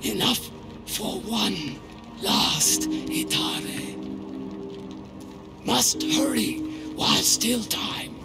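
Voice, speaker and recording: An actor playing a solemn, foreboding alien mystic in a video game, with halting broken speech and a gravelly, breathy voice. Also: male voice, high voice, huge pitch swings, exaggerated voice. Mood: grave, urgent. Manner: halting broken speech, dropped words, long pauses. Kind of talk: dramatic game cutscene acting. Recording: roomy sound under loud cinematic music.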